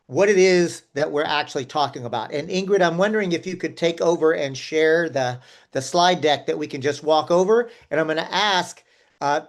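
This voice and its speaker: A man leading a participatory online workshop over a video call, inviting people in and thinking aloud with fillers. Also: fairly high pitch. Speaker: workshop facilitator.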